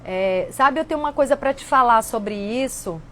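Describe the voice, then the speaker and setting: A woman, hosting a casual reflective talk and answering viewer questions in a warm, earnest way. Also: a little high, expressive, moderate pace, slight background noise. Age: 50s. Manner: warm, earnest, conversational with frequent "né".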